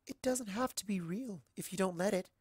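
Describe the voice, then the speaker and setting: A man's voice: high voice, brisk, husky, no echo, studio quality, pitched above the performer's own voice. A man voicing a tender, clinging female pony lover who pleads in a dramatic story reading. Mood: tearful pleading. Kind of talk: dramatic fan fiction reading.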